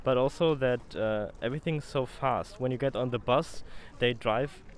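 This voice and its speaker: Young man from Germany, relaxed. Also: medium pitch, moderate pace, slight background noise. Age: young adult. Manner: relaxed, wry storytelling. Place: outdoors in a city. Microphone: handheld mic held by interviewer.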